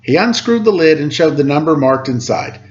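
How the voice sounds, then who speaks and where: Higher-pitched man reading a storybook aloud. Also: expressive, moderate pace, dull audio. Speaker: storyteller, teacher. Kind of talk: children's picture book read-aloud.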